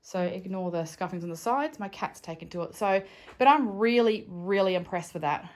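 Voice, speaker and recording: A woman with an Australian accent, croaky from allergies, chatting casually as she works through a DIY cleaning job at home. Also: mid-range voice, animated, moderate pace. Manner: thinking aloud, chatty, self-correcting. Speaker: homestead vlogger. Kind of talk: casual DIY vlog chat. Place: home, indoors.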